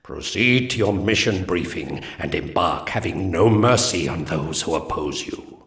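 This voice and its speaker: A man narrating solemn mission briefings in a game as a proud ancient alien commander, grave and measured. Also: low-pitched, expressive, creaky, a bit bright, roomy sound, full character voice. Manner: grave, measured, archaic phrasing.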